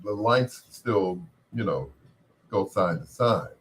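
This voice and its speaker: A man with a deep, booming voice, hosting a late-night radio show in a relaxed, chatty way through a processed studio mic. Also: slow pace. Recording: noisy background with booming fireworks. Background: firecrackers booming.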